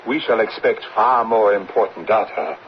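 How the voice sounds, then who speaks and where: An actor playing a formal, coldly demanding German colonel and spymaster in an old radio drama. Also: male voice, lowish voice, moderate pace, close to an everyday voice. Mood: cool, demanding. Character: stern, demanding German colonel running a spy. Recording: muffled old radio recording, faint noise.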